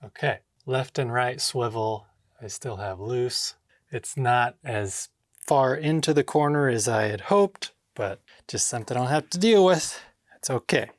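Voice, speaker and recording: Male DIY vlogger, mid-pitched, informal and self-talking, short exclamations, close dry indoor sound. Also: expressive, unhurried, dry recording. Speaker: home theater DIY vlogger. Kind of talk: casual hands-on vlog narration, thinking aloud. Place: indoor home theater room with drop ceiling.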